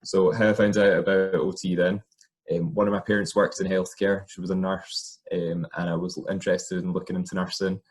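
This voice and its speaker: A man's voice: sits low, a little fast, a little hiss or hum. A young Scottish man, an occupational therapist, talking casually about his career with plenty of ums and uhs. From Scotland. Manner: relaxed, many ums and uhs. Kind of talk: informal talk to camera.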